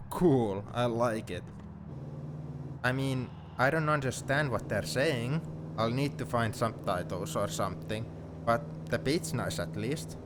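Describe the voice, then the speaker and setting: A man playing a young, sentimental and gently teasing best friend in an intimate audio roleplay, speaking in a conversational, reflective way. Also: medium pitch. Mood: light, reassuring. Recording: steady car noise behind the voice. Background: car ambience.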